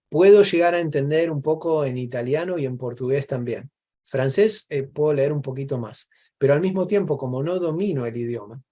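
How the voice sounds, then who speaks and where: A man's voice: medium pitch, warm tone. A man with an Argentine accent in casual, reflective conversation as an interview guest, explaining things discursively with examples.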